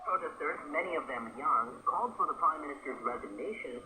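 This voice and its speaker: A man reading scripted international news as an anchor, in a measured, formal and clearly enunciated delivery. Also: fairly high pitch, lively intonation, a crowd in the background, poor audio. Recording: shortwave radio broadcast with loud static noise.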